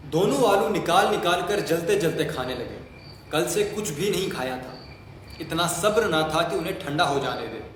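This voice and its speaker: A man's voice: a little high, animated, moderate pace. Man, scripted storytelling, long reverb, faint background noise. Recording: very echoey hall, faint noise.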